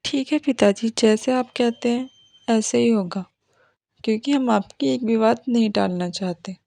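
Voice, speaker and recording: A woman's voice: on the high side, moderate pace, creaky, warm tone, no echo, clean studio sound. A woman voicing cartoon dialogue as a fretful mother and her headstrong young daughters. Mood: obedient.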